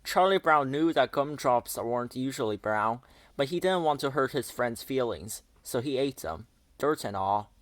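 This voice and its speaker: A man's voice: mid-range voice, expressive, moderate pace, no echo. A man narrating a children's picture book aloud as a storyteller.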